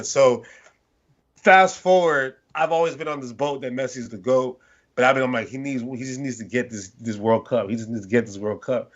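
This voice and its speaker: A man co-hosts a casual sports podcast, talking at a middling pitch and winding through his story with restarts and asides. The audio is a little dull and lo-fi. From born in London, Nigerian family. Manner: rambling, animated storytelling with restarts. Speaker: podcast co-host.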